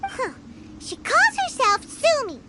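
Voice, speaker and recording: A woman voicing a tiny childlike fairy companion in a fantasy game, squeaky, naive, blunt and excitable. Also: slow pace, very noisy, exaggerated voice. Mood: puzzled. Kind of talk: fantasy video game voice acting.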